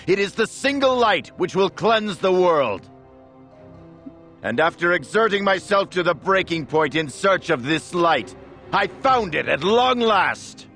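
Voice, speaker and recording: A grandiose villainous nobleman from a fantasy game. He orates in a raised, very expressive pitch, measured and theatrical, with music playing underneath. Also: heavily acted. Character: grandiose scheming nobleman addressing the masses. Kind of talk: theatrical dramatic speech.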